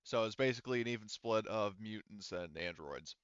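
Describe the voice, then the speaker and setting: A man's voice: lowish voice, a little fast, a bit bright, smooth, dry recording, lo-fi sound. A man running an online tabletop roleplaying game, talking casually and hesitantly as he thinks aloud. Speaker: game master.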